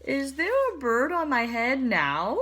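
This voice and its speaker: A woman's voice: high-pitched, very animated, takes it slowly, fairly bright tone, full character voice. A woman voicing an anxious male elephant in a storybook, with fretful, exclaiming questions. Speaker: storybook character.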